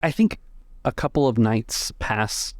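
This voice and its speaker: A man's voice: medium pitch, unhurried, no room sound, clean studio sound. A man acting as game master, improvising the narration of a tabletop roleplaying game. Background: music.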